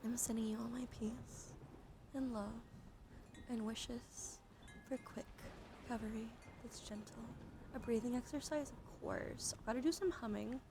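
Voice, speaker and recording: A woman live streamer chatting casually in an ASMR style, soft-spoken and often slipping into breathy whispers, close to the microphone. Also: on the high side, fairly even intonation, a little slow, noisy background. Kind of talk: casual ASMR livestream chat.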